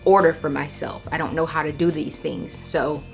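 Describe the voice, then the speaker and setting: A low-voiced American woman with a noticeable creak talks casually to her vlog audience. She is candid and reflective, circling back over phrases to stress them, with faint music behind her. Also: steady tone, moderate pace, rough recording. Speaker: vlogger.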